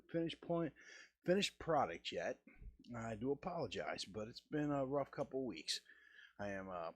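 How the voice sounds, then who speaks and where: Husky-voiced man in his forties, halting. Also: no room sound, clean studio sound. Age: 40s. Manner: halting, restarts and stammers, deliberate lowered delivery. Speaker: host. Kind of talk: casual art-stream chat.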